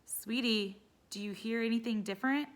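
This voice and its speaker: A woman's voice: fairly high pitch, fairly even intonation, normal speed, natural voice. A woman voicing a concerned, affectionate mother in a storybook, earnest and questioning. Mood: caring.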